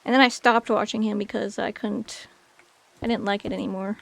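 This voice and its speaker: A woman's voice: mid-range voice, steady tone, normal speed, vocal fry. A woman in her late twenties chatting casually with viewers on a game stream, rambling with many fillers. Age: 20s. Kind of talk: casual chat with chat while gaming.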